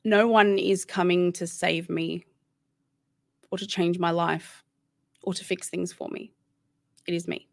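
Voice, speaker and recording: A woman's voice: on the low side, flat delivery, a little slow, vocal fry, pauses often, dry recording. An Australian woman in her thirties, a guest chatting casually and candidly, rambling with many restarts. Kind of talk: casual interview chat.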